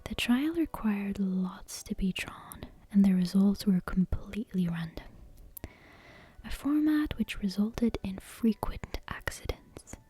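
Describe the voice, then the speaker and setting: A woman speaking very softly in a breathy near-whisper close to the microphone, gently hosting bedtime-story ASMR. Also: medium pitch, expressive, slow pace. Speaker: ASMR channel host. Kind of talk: soft-spoken, whispery ASMR, intro and bedtime story reading. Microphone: close ASMR microphone with headphone monitoring.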